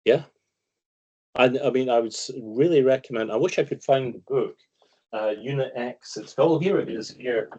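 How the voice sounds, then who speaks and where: A man's voice: medium pitch, normal speed, a little mellow, slightly rough, dull audio. A man with a Scottish accent, a guest analyst giving emphatic, opinionated commentary in long explanations. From Scotland. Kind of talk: conversational interview commentary.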